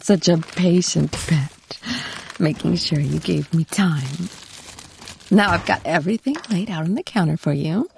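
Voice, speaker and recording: A woman playing a doting, possessive, teasing wife in an intimate audio roleplay, cooing in a breathy voice that often drops toward a whisper. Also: low voice, darkish tone, no echo, exaggerated voice. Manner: coaxing, cooing pet names, unhurried murmuring.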